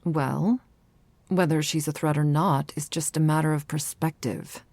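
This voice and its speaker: Woman acting a husky, sardonic vampire woman. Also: sits low, steady tone, measured pace, darkish tone, no room sound. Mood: sardonic, bored. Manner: sardonic, dismissive. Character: blunt, bored female vampire, sardonic and thrill-hungry. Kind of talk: ASMR audio roleplay.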